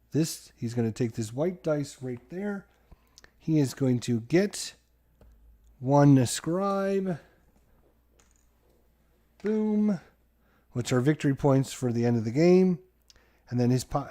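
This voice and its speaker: A man hosting a solo board game playthrough, giving excited play-by-play to his viewers like a sports announcer. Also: mid-range voice. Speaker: board game channel host.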